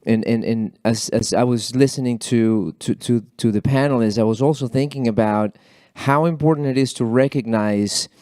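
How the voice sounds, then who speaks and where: A man whose first language is Spanish, speaking as an alumni panelist at a college event in a halting, earnest way with many repeated words. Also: medium pitch, darkish tone, dry recording, studio quality. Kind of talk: panel discussion remarks.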